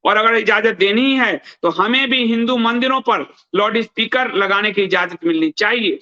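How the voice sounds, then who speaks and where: A man's voice: high-pitched, normal speed, bright, forceful tone. A man hosting news commentary, reading aloud and adding emphatic remarks, repeating phrases for stress, in a dull lo-fi recording. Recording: dull, lo-fi band-limited sound.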